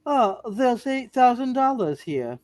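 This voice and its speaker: A woman's voice: on the high side, very animated, measured pace, darkish tone, no room sound. A woman acting a principled, defiant state bank examiner with a folksy, indignant manner in a radio play. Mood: curious. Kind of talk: hammed-up radio play reading.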